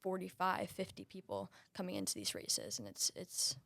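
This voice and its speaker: A teenage girl runner talking casually, with a lot of likes and ums and a gravelly fry. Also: lowish voice, sing-song, moderate pace, a bit bright, no room sound. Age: teens. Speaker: high school runner.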